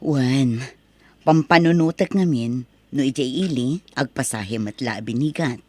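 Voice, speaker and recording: A woman with an Ilocano accent playing a supportive, practical wife and mother, gentle and conversational, in an audio drama. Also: very deep voice, a little slow, no echo.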